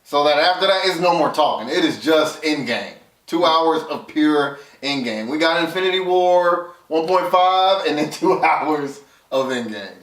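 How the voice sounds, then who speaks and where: A man's voice: on the high side, lively intonation, measured pace, few pauses, fairly bright tone. A man co-hosting a casual film review, explaining his opinions conversationally and reasoning them out step by step. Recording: roomy echo, distant from mic.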